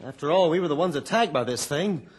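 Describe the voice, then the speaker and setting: Stammering, high-voiced radio fisherman. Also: expressive, fast, breathy edge. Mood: indignant. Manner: stammering, earnest, defensive. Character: excitable, flustered fisherman with a tall story. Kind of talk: old radio adventure drama acting. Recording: noisy old radio recording.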